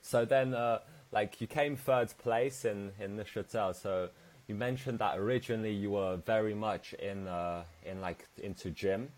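A man's voice: lowish voice, steady tone, unhurried, a little mellow. A man hosting a podcast, asking questions in an easygoing, hesitant way with many fillers. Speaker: podcast host. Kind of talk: casual interview.

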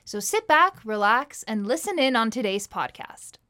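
A woman's voice: a little high, very animated, moderate pace, bright, forceful tone. A woman reading a scripted podcast intro in an announcing manner.

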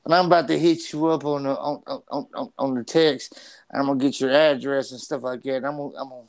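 A man's voice: medium pitch, steady tone, fairly quick, creaky, no echo. A man with a stutter co-hosting a casual podcast, talking animatedly and profanely, tripping over and repeating his words. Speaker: podcast co-host.

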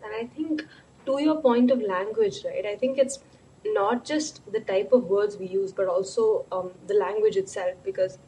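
A woman's voice: mid-range voice, dark tone, a little hiss or hum, rough recording. A woman interviewing a scholar, asking long, reflective questions that she frames carefully before getting to the point.

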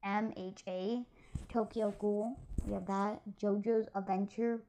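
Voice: medium pitch, level tone, measured pace, dark tone, a little hiss or hum, rough recording. A youngster who sounds like a child or young teen, rambling casually and a bit hesitantly as host of an amateur solo podcast. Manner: hesitant, self-deprecating. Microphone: amateur mic, trying blanket as sound booth.

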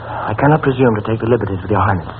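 Casting: man as stiff shy colonel, low voice, brisk formal delivery, vintage radio comedy, crackly narrow sound. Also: natural voice. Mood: stiff, uneasy. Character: stiff, dutiful, bashful male army officer. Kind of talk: old-time radio comedy acting. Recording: muffled, noisy old radio recording.

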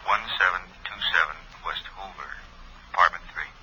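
A businesslike detective speaks over a telephone. His voice is deep and matter-of-fact in 1950s radio style. Clear noise runs through the vintage recording. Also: fairly even intonation, normal speed. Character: businesslike police detective phoning in a lead. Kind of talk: 1950s radio crime drama acting. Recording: noisy, distant vintage radio phone call.

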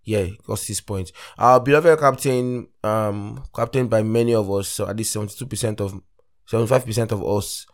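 A Nigerian man hosting a casual solo fantasy football podcast, rambling with lots of fillers and restarts, his voice still deep from the morning. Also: steady tone, normal speed. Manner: rambling, many fillers and self-corrections. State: morning voice, deeper than usual. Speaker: podcast host.

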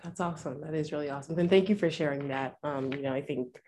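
Low, congested woman hosting a chat. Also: quick pace, mellow, a little hiss or hum. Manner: supportive, loose and chatty. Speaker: podcast co-host. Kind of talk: casual interview chat.

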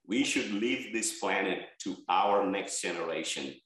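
A man's voice: sits low, unhurried, a bit bright, heavy reverb. A man from Bangladesh, an energy research head, giving earnest interview answers and listing points with stress on key words.

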